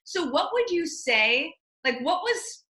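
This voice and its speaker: Peppy woman host, bright and forceful voice, echoey room, casual interview chat. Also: very high-pitched, very animated, slow pace. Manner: peppy, addresses viewers as "you guys".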